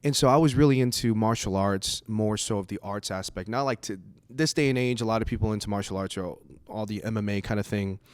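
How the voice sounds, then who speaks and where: Male comic, native Cantonese speaker from the US. Low voice, dry close recording, loose and sweary delivery. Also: fairly quick, few pauses, clear, resonant tone, dry recording. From United States. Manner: casual, profane. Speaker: stand-up comedian. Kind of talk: comedic monologue, casual and rambling.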